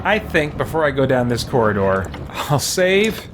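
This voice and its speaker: A man's voice: medium pitch, very animated, unhurried, background noise. A man hosting a game livestream, talking casually as he plays and addresses his viewers. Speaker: livestream host playing a fantasy role-playing game.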